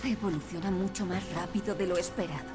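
A woman's voice: lowish voice, fast, over music, natural voice. Cold, clinical woman scientist played by an actress. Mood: curt, impatient. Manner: curt, detached, dismissive. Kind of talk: dramatic video game acting.

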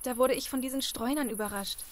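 Actress as frightened female fugitive, high voice, pleading and faltering, horror radio-play style. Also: fast talker, natural voice. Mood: shaken. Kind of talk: German audio drama acting.

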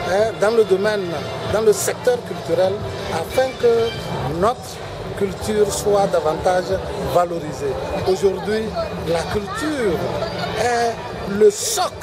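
Brief: middle-aged official, high voice, earnest appeal, hesitant fillers, loud music and crowd behind. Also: male voice, low-quality recording. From Togo. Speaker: government official giving an interview. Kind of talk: formal interview statement. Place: busy exhibition pavilion. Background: African pop music and crowd noise.

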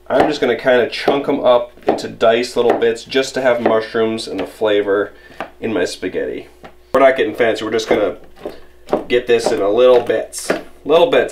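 A relaxed young man talks through a recipe while he cooks in a home kitchen, his voice at an ordinary middle pitch. He rambles easily, restarts his sentences, and keeps things casual and unhurried. Faint clicks and kitchen noise sit behind him. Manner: easygoing, apologetic, rambling with restarts. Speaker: outdoor vlogger cooking camp meals. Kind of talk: casual how-to cooking narration. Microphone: camera filming from above the stove.

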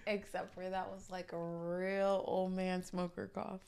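Woman on a podcast, kinda nasal, slow and draggy with a lot of vocal fry, teasing. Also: fairly low pitch, little pitch movement, mellow. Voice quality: slightly nasal. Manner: teasing, dry, laughs often. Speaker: podcast co-host. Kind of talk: casual podcast banter.

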